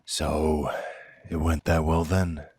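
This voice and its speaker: Male actor as blunt, loyal werewolf lieutenant, fantasy audio drama. Very low, raspy voice, sardonic and defiant. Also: flat delivery, takes it slowly, darkish tone, no room sound, exaggerated voice. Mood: wry. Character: loyal, blunt, defiant werewolf right-hand man to a duke.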